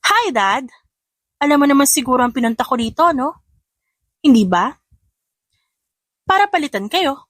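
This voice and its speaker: A woman voicing a spiteful, sharp-tongued young heiress in a dramatic audio story, taunting and confrontational. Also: high-pitched, big pitch swings, normal speed, long pauses, dry recording. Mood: mocking, defiant.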